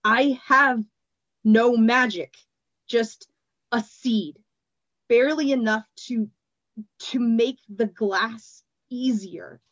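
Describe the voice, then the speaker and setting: A woman reader voicing an enraged grown male glassblower who forces out his words slowly and carefully. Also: a little high, a bit bright, no room sound, lo-fi sound. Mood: angry slow. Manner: slow, deliberate, forced words. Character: angry, slow-spoken grown male glassblower.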